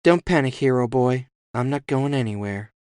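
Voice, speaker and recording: A cocky and playful woman fighter acted by a man in a cyberpunk audio drama. The delivery is flirtatious and lightly raised in pitch, recorded dry. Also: lively intonation, normal speed, a little mellow, clean tone, dry recording, lightly acted. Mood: flirty. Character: cocky, flirty female fighter looting for spoils. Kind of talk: audio fiction character voice.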